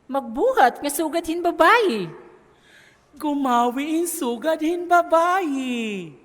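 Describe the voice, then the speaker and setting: Woman doing flamboyant comic play character, super high swoopy voice, emphatic, echoey hall. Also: expressive, slow pace. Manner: exclaiming, emphatic.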